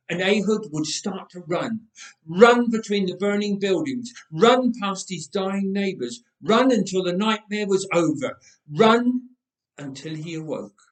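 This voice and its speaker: A man with a slightly high voice reads a dramatic tale aloud. His phrasing is deliberate and expressive, leaning on repeated words for weight. The sound is very roomy and echoing. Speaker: story reader. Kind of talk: dramatic read-aloud storytelling of a Bible tale. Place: inside a church. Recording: roomy, echoing church acoustic.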